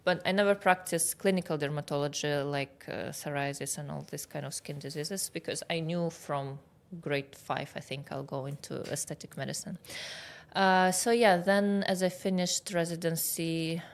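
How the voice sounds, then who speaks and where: A woman in her early thirties speaks with a Russian accent. Her voice is low for a woman, and she explains things conversationally, like a doctor being interviewed. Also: steady tone, moderate pace. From Kazakhstan. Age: 30s. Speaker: guest expert, aesthetic doctor.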